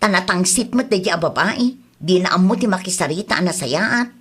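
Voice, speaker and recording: A woman's voice: fairly low pitch, lively intonation, a little fast, slightly rough. A woman with an Ilocano accent playing a nagging, aggrieved costume renter in a comedy audio drama. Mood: complaining. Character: aggrieved costume-rental woman, exasperated daughter, insistent.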